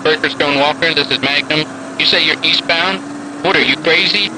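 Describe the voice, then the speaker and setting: An actor playing a terse trucker giving warnings in slang over a CB radio. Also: male voice, on the high side, fairly quick. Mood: urgent warning. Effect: CB radio filter. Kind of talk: audio drama radio dialogue. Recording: noisy CB radio transmission.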